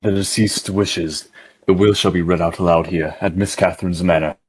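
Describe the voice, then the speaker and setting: A man's voice: low-pitched, fairly even intonation, normal speed, breathy edge. A man voicing a solemn, formal bearer of grave news in an amateur game dub. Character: solemn, formal man announcing a death and will.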